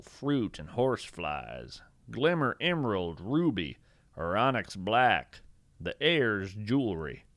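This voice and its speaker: A man playing an eccentric, earnest naturalist who hosts a scripted fiction podcast, speaking in a measured, theatrical, thoughtful way. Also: lowish voice, a bit bright, dry recording, exaggerated voice. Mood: hushed, reverent. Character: eccentric male naturalist host, musing, earnest, whimsical. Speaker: podcast host and narrator. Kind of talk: scripted fiction podcast narration with poetry readings.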